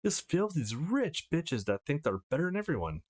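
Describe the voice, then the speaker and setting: A man's voice: a little high, very animated, fairly quick, pitched above the performer's own voice. A man voicing a brash, foul-mouthed teenage girl from a visual novel, teasing and bragging in a cheeky, crude way. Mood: indignant. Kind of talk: reading visual novel dialogue aloud.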